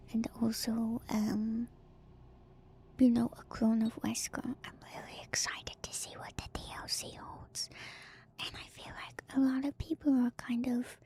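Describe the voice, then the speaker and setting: A woman streamer whispering an ASMR lore commentary to her viewers in a hushed, musing, unhurried way. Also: fairly high pitch, slight background noise. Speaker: VTuber streamer. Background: music.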